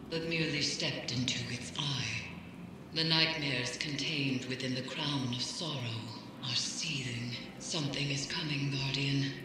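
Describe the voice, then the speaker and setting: A man's voice: fairly high pitch, lightly acted. A man voicing a wary mystic ally in a game, speaking in a grave, foreboding way. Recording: echoey hall with noise.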